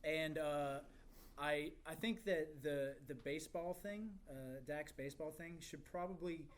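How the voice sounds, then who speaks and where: Hesitant man giving casual feedback, slightly high voice. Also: a little slow. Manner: hesitant, thinks aloud in broken phrases. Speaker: feedback giver at a screenplay table read. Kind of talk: casual spoken critique in a group discussion.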